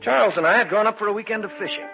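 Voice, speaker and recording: A man's voice: sits high, very fast, vocal fry. A man playing a level-headed, sceptical fisherman in an old radio drama, both narrating and acting out the tale. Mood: measured, grave narrating. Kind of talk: 1940s-50s radio acting and first-person narration. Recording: dull vintage radio recording with music.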